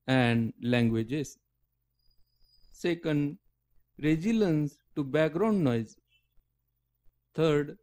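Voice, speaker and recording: A man narrating a scripted technical lecture as the lecturer, with halting, deliberate phrasing. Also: a little high, mellow.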